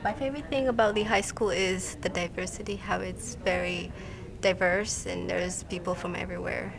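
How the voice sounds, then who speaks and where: A woman's voice: on the low side, steady tone, moderate pace, gravelly, a crowd in the background. A teenage girl from Kenya, being interviewed for a school TV show and answering with many hesitant ums. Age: late teens. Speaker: interviewed student.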